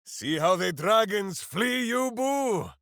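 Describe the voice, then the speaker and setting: An actor playing a big-hearted, dim-witted heroic ranger in a video game, bombastic and earnest, speaking of himself in the third person. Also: male voice, sits high, animated, slow, a bit bright, dry recording, studio quality, full character voice. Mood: boisterous, indignant. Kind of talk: video game character voice lines.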